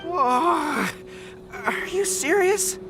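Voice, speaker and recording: A man's voice: extremely high pitch, lively intonation, drawn-out words, full character voice. An actor playing an earnest young male soldier in a video game, hesitant and polite, tending to stammer. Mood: shocked, dismayed. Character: earnest young dog-eared soldier, male, flustered but dutiful. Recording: game music behind.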